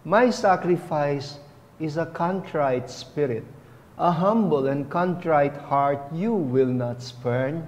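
A middle-aged man, a bishop, giving a homily at a lectern in a chapel, speaking deliberately on a lapel mic. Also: a little high, darkish tone, in a large hall. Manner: slow, deliberate, teaching tone with rising emphasis. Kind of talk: sermon.

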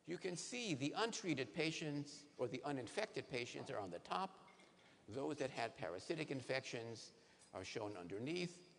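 A man in his sixties, a neurologist, giving a lively science lecture to patients on a conference stage, asking the audience questions. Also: mid-range voice, creaky. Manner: animated, asks the audience questions. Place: large conference hall stage. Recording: reverberant hall, faint noise.

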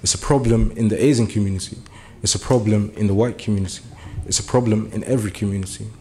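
Earnest young man giving a halting speech. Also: fairly low pitch, fairly even intonation, warm tone, a little hiss or hum. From Germany. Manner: earnest, hesitant with many fillers, building to urgent appeals. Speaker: community speaker. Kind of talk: public speech at a meeting. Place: meeting room.

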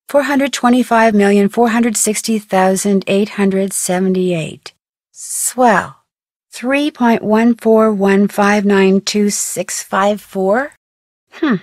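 A woman's voice: mid-range voice, a little mellow, dry recording, clean studio sound. A woman voicing a plucky, curious teenage girl detective in a video game, wryly thinking aloud to herself. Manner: wry, curious, self-talking. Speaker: voice actor of a video game heroine.

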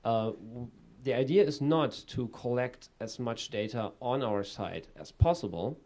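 A man in his thirties answering an audience question on a conference panel, measured and full of small fillers, into a table microphone in a conference room. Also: mid-range voice, lively intonation, a little mellow. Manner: measured, with frequent fillers and restarts. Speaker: panelist presenting a project.

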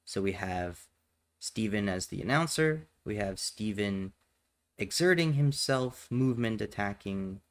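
Low-voiced man giving a tutorial. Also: lively intonation, a little slow. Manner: matter-of-fact, instructional. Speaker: tutorial presenter. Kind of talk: step-by-step software tutorial narration.

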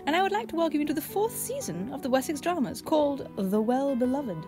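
Higher-pitched woman chatting over music. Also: very animated, fast talker. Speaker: director and writer introducing her audio drama. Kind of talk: chatty podcast introduction. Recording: music behind the voice.

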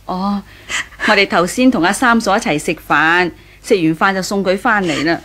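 A woman's voice: medium pitch, moderate pace, a bit bright, lightly acted. An actress playing a concerned, fussing older mother-in-law in a 1980s radio drama, with a Hong Kong Cantonese accent. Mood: reasoning.

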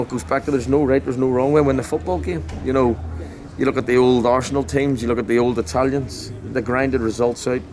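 A man's voice: medium pitch, darkish tone. A man in his forties, a football manager giving a blunt, passionate post-match interview outdoors at a ground at night. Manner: emphatic, repetitive, blunt and passionate. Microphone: handheld mic held close. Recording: faint outdoor noise.

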